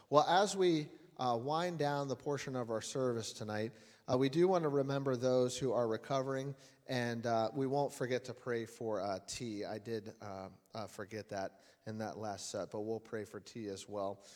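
A man's voice: medium pitch, normal speed. A man leading a church service in prayer and announcements, earnest and plain-spoken with frequent uhs. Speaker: lay worship leader. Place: church sanctuary. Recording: long church-hall reverb with faint music.

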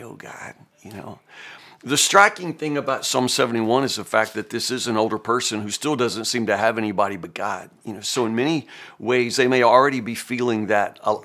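A man's voice: lowish voice, a bit bright. A man, a pastor giving a casual, folksy Bible reflection full of "you know" and restarts. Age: 50s. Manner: folksy, conversational, many fillers and restarts.

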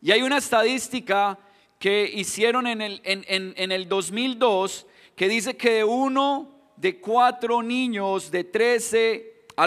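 Colombian guy preaching, high-ish voice, long church hall reverb, keeps restarting words. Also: fairly bright tone. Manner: earnest, repeats and restarts words, rises to emphatic questions. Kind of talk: sermon.

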